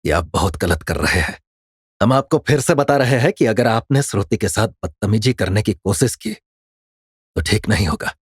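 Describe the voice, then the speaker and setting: A man voicing a poor young male tea seller in an audio drama, speaking in a quiet, measured, polite but firm way. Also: on the low side, expressive, slightly rough, no room sound, clean studio sound, pitched below the performer's own voice. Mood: warning. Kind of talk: Hindi audio drama character acting.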